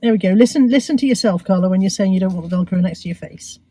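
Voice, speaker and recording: A woman with a cold, sounding croaky and sniffly, chatting casually to viewers about her shopping with self-mocking asides. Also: medium pitch, rapid-fire, mellow, no room sound. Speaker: vlogger. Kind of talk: chatty shopping haul vlog.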